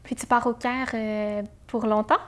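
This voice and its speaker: Woman, show host, a bit high-pitched, short prompts, lots of affirmations. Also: level tone, unhurried. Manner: brief prompts and frequent affirmations. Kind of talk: interview host questions.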